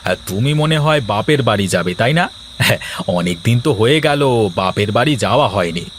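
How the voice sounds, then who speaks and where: A man's voice: on the low side, animated, fast talker, fairly bright tone, a little hiss or hum. A man voicing a reasonable village husband, loyal to his mother, in a Bengali cartoon story. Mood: coaxing.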